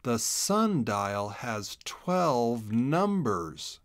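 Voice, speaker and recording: A man's voice: medium pitch, very animated, very slow, dry recording, studio quality, full character voice. A man voicing a curious young hedgehog boy in a children's storybook, speaking in an earnest, emphatic way. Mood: hushed discovery. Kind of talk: children's storybook read-aloud.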